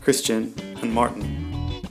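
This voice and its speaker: A man's voice: mid-range voice, little pitch movement, slow, music in the background, pitched below the performer's own voice, lightly acted. A man reading a scripted, laid-back podcast intro.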